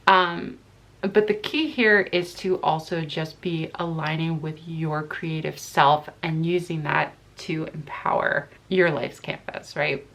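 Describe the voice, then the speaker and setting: A woman with a slightly low voice reads tarot cards straight to the viewer in a casual, chatty way. Her nose sounds stuffy from allergies. She wanders through her thoughts and often circles back to find the right words. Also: steady tone, takes it slowly, gravelly, a bit bright. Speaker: tarot card reader. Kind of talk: casual pick-a-card tarot reading to camera.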